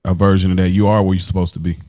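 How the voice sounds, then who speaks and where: A man's voice: deep voice, level tone, rapid-fire, warm tone. An American man on a podcast panel, chatting casually and informally, often chiming in with agreement. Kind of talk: casual chat.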